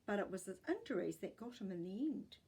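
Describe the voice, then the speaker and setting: A woman voicing a caring, gentle middle-aged mother in a storybook reading, chatty and questioning. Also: mid-range voice, sing-song, a little fast, slightly rough, heavily acted. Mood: soft. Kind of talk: storybook character voice.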